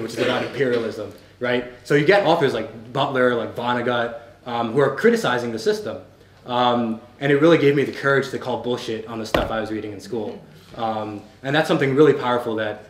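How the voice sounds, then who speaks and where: An American man speaking on a festival panel, quick and passionate, arguing his points with frequent restarts. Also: medium pitch. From United States. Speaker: panelist, novelist. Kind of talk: animated panel talk. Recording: echoey room, faint ambience.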